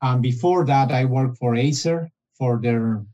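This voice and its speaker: A man's voice: mid-range voice, a little slow, warm tone, dull audio. A man, talking as a guest in an interview, often restarting his phrases. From Argentina. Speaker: guest, business development director. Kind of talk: casual interview conversation.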